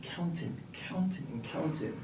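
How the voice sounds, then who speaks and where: A man guesting on an interview show, telling stories with lively quoted voices, from the United Kingdom. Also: a little high, a little slow, slight background noise. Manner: animated storyteller, acts out quoted voices. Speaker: property investor and mentor. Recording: echoey room, mic at a distance.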